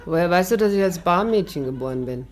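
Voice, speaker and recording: Woman playing cool, mocking young barmaid, low register, flippant gangster's-girl swagger, 1950s radio play. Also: lively intonation, fast, natural voice. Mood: teasing. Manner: teasing, flippant, sardonic.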